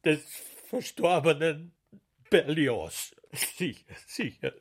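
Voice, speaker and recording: An overwrought sham mourner in a radio play, sobbing and lamenting. The high, husky voice swoops theatrically and drags out its words. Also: male voice, animated, heavily acted. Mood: sham grief. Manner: melodramatic wailing, drawn-out lamenting. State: crying. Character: theatrical sham-grieving man, wailing, slippery, overwrought. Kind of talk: German radio drama acting.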